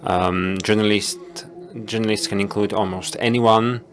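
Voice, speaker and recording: A man moderating a panel discussion, speaking in a measured, halting way. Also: fairly low pitch, fairly even intonation, fairly bright tone.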